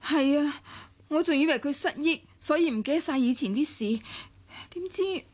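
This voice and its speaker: A woman's voice: high voice, expressive, normal speed, darkish tone. An actress playing a sincere, anxious, hesitant young woman in a radio drama, with a Hong Kong Cantonese accent. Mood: flustered, apologetic. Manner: hesitant, faltering.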